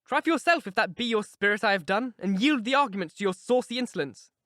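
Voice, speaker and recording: A man reading a novel aloud, voicing a proud, sharp-tongued young married woman with a scornful, emphatic manner. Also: high-pitched, expressive, fast, dry recording, clean studio sound. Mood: cold.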